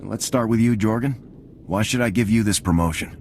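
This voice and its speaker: Casting: actor for military hero lead, low voice, quick direct delivery, confident, game cutscene. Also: male voice, darkish tone, slight background noise, lightly acted. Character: confident, steady male squad commander, soldierly. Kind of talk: scripted video game acting.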